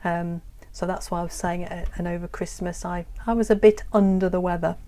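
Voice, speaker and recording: A woman teaching English in a live online lesson, explaining slowly and patiently with many pauses and ums. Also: on the low side, fairly even intonation, dark tone. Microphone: phone or computer on a live stream.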